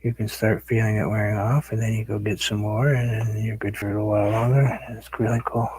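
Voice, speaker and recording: A middle-aged man with a raspy voice, rambling casually to viewers as he paints on a live stream. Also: fairly low pitch, little pitch movement, quick pace, a little mellow, slight background noise, poor audio. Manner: rambling, talks to viewers while working. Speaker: painting livestream host. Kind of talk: casual chat while painting.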